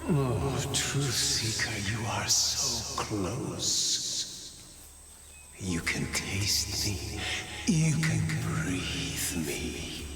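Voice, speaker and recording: A cryptic plant spirit for a video game, rapturous and full of riddles. The voice is low and extremely slow, with breathy whispered turns. It rings in a long reverb. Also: male voice, animated. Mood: rapturous, menacing. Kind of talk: theatrical game acting in poetic riddles. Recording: echoing cavernous reverb, music underneath.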